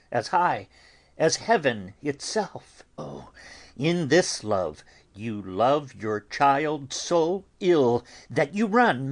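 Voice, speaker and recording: A man playing a stern, pious friar in a dramatic Shakespeare audiobook, sermonizing deliberately with long pauses. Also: fairly high pitch, exaggerated voice. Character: stern, pious friar admonishing and consoling mourners.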